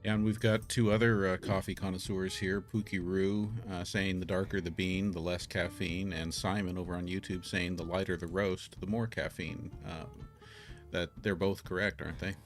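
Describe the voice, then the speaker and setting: A man from the United States hosting a live stream, reading viewer questions in a casual, halting way with many ums and restarts. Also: sits low, little pitch movement. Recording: guitar music audible under voice.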